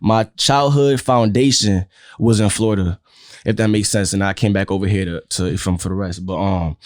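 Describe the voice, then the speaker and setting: Young male rapper, quick and stammery, low voice, dry close sound, casual guest interview. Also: steady tone, a little mellow, no echo. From Connecticut. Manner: stammering, fast, lots of "you feel me".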